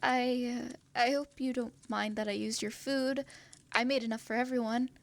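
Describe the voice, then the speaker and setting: A woman's voice: fairly high pitch, steady tone, normal speed, gravelly, dry recording. A woman playing a timid stammering young girl. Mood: flustered. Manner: stammering, nervous, apologetic. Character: timid, apologetic young girl with a pet cat. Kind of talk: fantasy audio roleplay.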